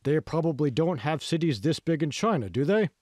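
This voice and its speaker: A man's voice: on the high side, expressive, fairly quick, darkish tone, no echo, studio quality. A man voicing a self-important, patronising state official in an audiobook, pompous and ingratiating. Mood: puffed-up.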